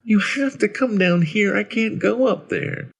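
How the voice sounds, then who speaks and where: A man's voice: high voice, measured pace, vocal fry, husky, pitched above the performer's own voice, heavily acted. A man voicing the ghost of a lonely, abandoned little boy in a horror story, plaintive and pleading. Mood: timid.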